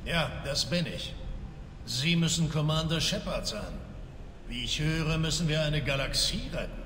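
A hardened, cynical mercenary in a video game. He is blunt and brusque in a raised pitch, echoing through a hall with faint background noise. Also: expressive, a little slow, fairly bright tone. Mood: cynical, businesslike. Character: brash, cynical male mercenary, hardened and businesslike.

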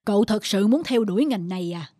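An actor voicing a stern, reserved old male professor in a cartoon, measured and admonishing. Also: high voice, expressive, warm tone, dry recording, clean studio sound. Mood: concerned, grave. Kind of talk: animated cartoon dubbing.

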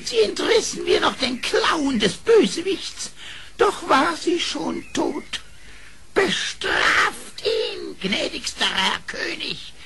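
A man's voice: very high-pitched, big pitch swings, slow, vocal fry, sharp tone, slight background noise, heavily acted. An actor playing a grieving rooster father in a children's radio play, tearful, wailing and pleading. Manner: tearful, lamenting.

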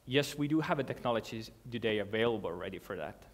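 A man's voice: lowish voice, expressive. Man giving an earnest talk on stage. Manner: earnest, halting, with restarts and non-native grammar. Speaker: conference speaker. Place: large dark hall with a seated audience.

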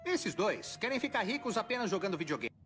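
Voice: medium pitch, big pitch swings, fairly quick, over music, natural voice. An actor voicing a scruffy pop-up ad hustler in a Brazilian cartoon film dub, casual and salesy. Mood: casual, chatty. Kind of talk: animated film dubbing.

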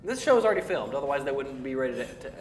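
A man's voice: medium pitch, big pitch swings, rapid-fire, a loud crowd. A middle-aged man hosting a casual entertainment news chat, with a dry, sarcastic manner. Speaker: geek talk show host. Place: indoor room, panel around a table. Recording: roomy echo, faint background noise.

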